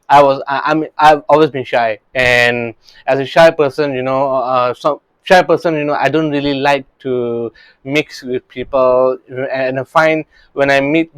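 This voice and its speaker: A man's voice: medium pitch, clear, resonant tone, no room sound, low-quality recording. A man in his thirties from Singapore, a real estate coach talking earnestly about his early career, often restarting his sentences. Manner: earnest, many restarts and "you know, right?" tags.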